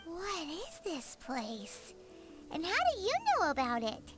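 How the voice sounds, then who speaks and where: A woman voicing a tiny, chatty, worrying female companion sprite in a video game, in a squeaky, childlike, expressive way. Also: takes it slowly, loud music behind the voice, exaggerated voice. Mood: worried.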